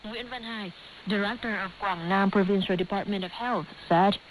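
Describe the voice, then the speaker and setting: Hissy shortwave radio, woman, Vietnamese accent, medium pitch, scripted news reading, presenter. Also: normal speed. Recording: noisy hissing shortwave radio broadcast.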